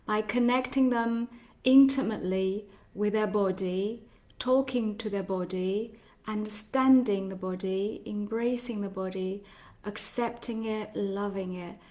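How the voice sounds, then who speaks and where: A woman in her forties, originally from China, talks as a healer in a live online session. She speaks slowly and gently, pausing often and laughing softly now and then. Her voice sits at an ordinary middle pitch. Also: steady tone. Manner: slow, gentle, with pauses and soft laughs. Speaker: somatic healer giving a live talk.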